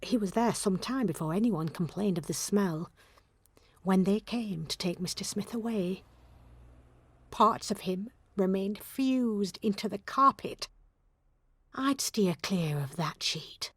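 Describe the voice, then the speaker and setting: A woman's voice: mid-range voice, takes it slowly, breathy edge, no echo. An actress playing a gossipy, suspicious, chatty female building caretaker in an audio drama. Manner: chatty, busybody. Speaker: actor in an audio play. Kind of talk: naturalistic audio drama dialogue.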